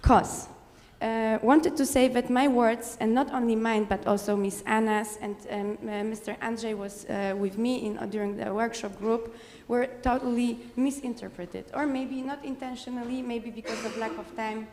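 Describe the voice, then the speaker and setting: A woman from the audience, speaking English as a philosopher at a conference, direct and insistent, often pausing with fillers. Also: fairly high pitch, steady tone, a little mellow, big-room sound. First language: Polish. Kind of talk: conference floor remarks. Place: conference hall.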